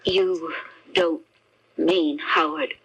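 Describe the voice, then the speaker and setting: A woman's voice: low voice, steady tone, drawn-out words, slightly rough, full character voice, rough recording. A woman playing an anxious, fragile wife and mother in a 1970s radio mystery, speaking hesitantly and fretfully. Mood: ashamed, evasive. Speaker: radio drama actress.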